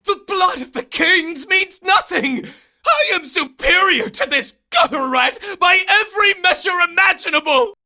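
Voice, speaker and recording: A woman's voice: very high-pitched, animated, a little slow, pushed and bright, full character voice. A woman voicing a vain, grandiose villain in a video game, pompous and theatrical. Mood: outraged. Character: vain, grandiose, spurned villain who craves devotion. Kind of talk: dramatic video game voice acting.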